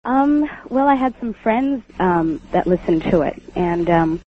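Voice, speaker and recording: A woman calling in to a radio show, hoarse from the flu, speaking softly and hesitantly with many ums. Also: lowish voice, animated, measured pace. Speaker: caller. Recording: muffled phone call over radio with faint noise.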